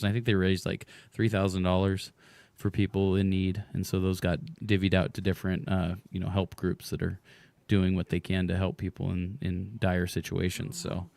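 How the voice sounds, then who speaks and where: Male radio host, slightly hoarse, relaxed rambling chat, low voice. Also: dry recording. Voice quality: hoarse from smoke. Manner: rambling, relaxed, many fillers. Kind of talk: casual radio interview chat. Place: radio studio.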